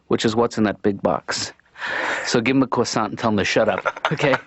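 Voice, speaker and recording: A man voicing an earnest, defensive middle-aged father in a cartoon, speaking haltingly in a conversational, improvised style. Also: fairly low pitch, breathy edge, dry recording, lo-fi sound. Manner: halting, stammering, mild-mannered.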